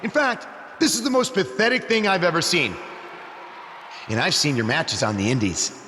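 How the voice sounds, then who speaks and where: An actor playing a pompous, scornful wrestling villain and show host, exasperated, in a video game. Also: male voice, on the high side, huge pitch swings, normal speed. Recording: echoing arena reverb with background noise.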